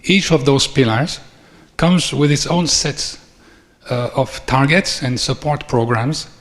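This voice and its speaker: A middle-aged Belgian man speaks at a mid-range pitch, formal and measured as he works through his points one by one. His voice rings out with a long reverb, like a large conference hall. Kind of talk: formal closing speech.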